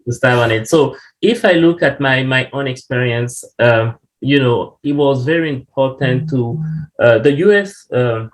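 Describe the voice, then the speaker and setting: A man from Cameroon, a company CEO, speaking on a webinar panel in an earnest, halting way with frequent fillers over a remote call mic. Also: medium pitch, low-quality recording.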